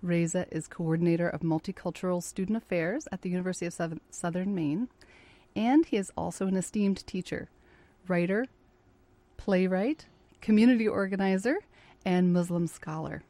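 A woman hosts an interview, introducing her guest and then asking questions. She speaks in a measured, clear way. Her voice sits low with a soft, dark tone. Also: dry recording. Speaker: interview host. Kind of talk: radio-style interview.

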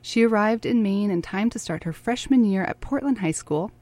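A woman hosting a conversational interview, welcoming and curious, putting clearly phrased questions to her guest. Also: mid-range voice, expressive, normal speed, dark tone. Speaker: interviewer, host.

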